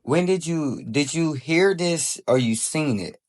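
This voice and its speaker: A man's voice: fairly high pitch, lively intonation, measured pace. A man in his thirties interviewing his grandfather casually, asking probing questions with many fillers. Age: 30s.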